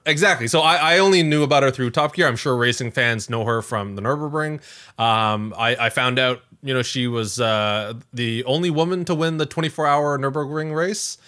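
A man's voice: mid-range voice, moderate pace, sharp tone. A man hosting a podcast in a casual chat style, conversational and often hedging as he thinks aloud. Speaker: podcast host.

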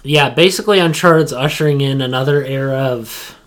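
A man's voice: mid-range voice, fairly quick, fairly bright tone. A young adult man co-hosting a podcast, chatting casually and opinionatedly, repeating phrases emphatically for stress. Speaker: podcast co-host.

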